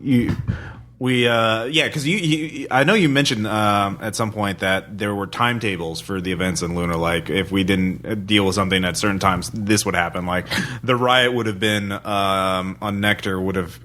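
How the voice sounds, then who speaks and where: A man hosting a casual podcast chat, asking loosely phrased questions in a halting way. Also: lowish voice, bright, forceful tone. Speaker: podcast host and fellow game master.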